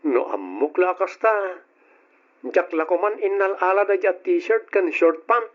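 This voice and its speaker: Meek, higher-voiced Ilocano nephew. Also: male voice, lively intonation, unhurried. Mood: puzzled. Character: meek, weary, put-upon young nephew. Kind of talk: radio drama acting. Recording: muffled, noisy old radio drama.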